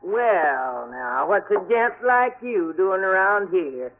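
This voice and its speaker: Gruff, suspicious rooming-house manager, high voice, tinny vintage radio broadcast. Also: male voice, sing-song, takes it slowly, exaggerated voice. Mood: grumbling. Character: grumpy nosy old rooming-house manager. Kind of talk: 1950s radio drama acting.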